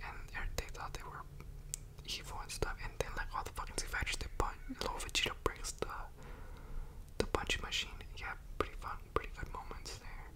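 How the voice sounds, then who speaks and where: A young man speaking in a breathy, unvoiced whisper, rambling informally and slangily in a casual ASMR style, close to a microphone in a home room. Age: young adult. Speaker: ASMR video creator reviewing a show. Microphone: large USB microphone very close to the mouth.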